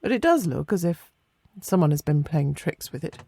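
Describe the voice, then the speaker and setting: A woman as a fussy, puzzled gentleman, dry close sound. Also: sits low, very animated, normal speed, gravelly, no room sound, heavily acted. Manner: prim, hesitant. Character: fussy, puzzled scholarly gentleman, mildly indignant.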